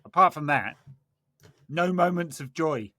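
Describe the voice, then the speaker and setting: Man with a bright, dry-sounding voice. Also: on the high side, animated, a little slow, no echo. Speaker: podcast host. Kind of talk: casual chat on a football podcast.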